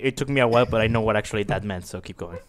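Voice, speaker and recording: A man's voice: on the low side, very fast, a loud crowd. A man in casual banter with friends, incredulous and sweary, often repeating himself.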